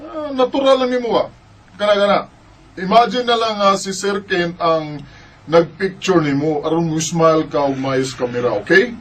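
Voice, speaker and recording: An actor playing a breezy, polite young photographer in a radio drama, with a Bisaya Filipino accent. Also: male voice, sits high, normal speed, a bit bright, distant mic. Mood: coaxing. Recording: music bed under the voice.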